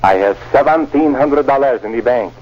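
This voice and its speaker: An actor playing a falsely friendly, cynical schemer in old-time radio style, with a folksy, coaxing manner. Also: male voice, medium pitch, normal speed, slight background noise, close to an everyday voice. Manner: coaxing, folksy, hesitant interjections. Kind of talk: 1940s radio acting. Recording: dull old radio drama recording.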